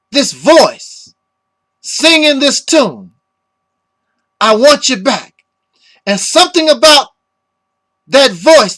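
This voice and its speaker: A middle-aged man from the United States giving a reflective personal monologue in deliberate, halting phrases, his voice slightly hoarse. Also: extremely high pitch, pushed and bright. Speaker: speaker giving a personal tribute.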